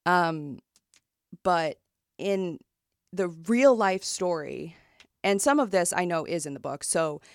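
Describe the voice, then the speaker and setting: A woman co-hosts a casual book-club podcast, animated as she retells a true story, full of "um"s, "like"s and restarts. Her voice sits on the lower side, and the recording is dry and close. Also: takes it slowly, long pauses, no room sound. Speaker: podcast co-host.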